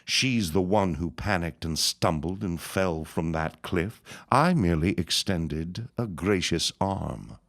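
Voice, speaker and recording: An actor playing a sinister, scheming small-town reverend in an audio drama, gloating and menacing. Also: male voice, gravelly, heavily acted. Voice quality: deep, slow, drawn-out menace. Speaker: Reverend Gaumont. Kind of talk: radio drama acting.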